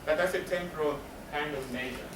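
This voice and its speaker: Male presenter, explanatory and emphatic, slightly high voice, recorded at a distance in a reverberant room. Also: fairly quick. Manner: explanatory, asks and answers own questions. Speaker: counselor giving a presentation. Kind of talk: spoken presentation to a live audience. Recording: roomy, distant mic with noticeable background noise.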